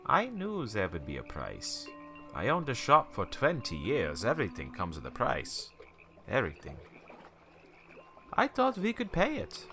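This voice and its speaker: An actor playing a haunted, bitter old small-town shopkeeper in a radio play, telling an ominous tale haltingly with theatrical rhetorical questions. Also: male voice, medium pitch, bright, forceful tone, heavily acted. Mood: haunted, regretful. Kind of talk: dramatic radio play monologue.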